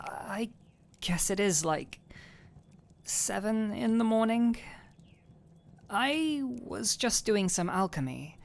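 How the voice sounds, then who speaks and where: A woman playing a gentle, anxious fantasy alchemist with a teasing, tender streak in an intimate roleplay audio drama, speaking in a soft, hesitant murmur. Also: mid-range voice, creaky.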